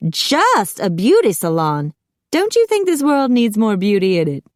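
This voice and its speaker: A woman voicing a gushing, melodramatic young salon woman with breathy, theatrical exclamations, in an audiobook. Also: sits high, big pitch swings, moderate pace, no room sound, clean studio sound, pitched above the performer's own voice. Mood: appalled.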